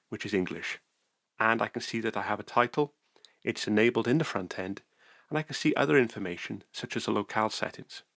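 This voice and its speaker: A man calmly narrating a software tutorial screencast, explaining each step methodically. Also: on the low side, vocal fry, no room sound, clean studio sound.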